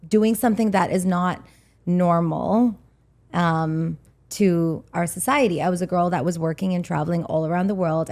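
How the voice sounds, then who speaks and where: A woman from Hong Kong co-hosts a casual interview podcast, talking openly and often restarting her sentences. Her voice sits fairly low. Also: a little mellow. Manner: open, laughing, restarts often. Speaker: podcast co-host, beauty entrepreneur.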